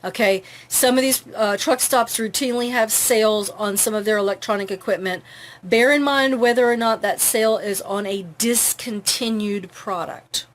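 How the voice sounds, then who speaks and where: A woman's voice: mid-range voice, normal speed. A woman hosting an informal live advice broadcast, instructive and earnest, often checking in with the listener as she explains. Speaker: host of a live advice broadcast for truck drivers. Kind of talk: informal live tips talk to camera.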